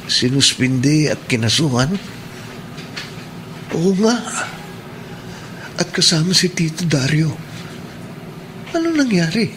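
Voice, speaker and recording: An earnest young Filipino police cadet thinking aloud in a radio drama. His voice is fairly high for a man, over faint noise. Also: creaky. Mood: troubled surprise.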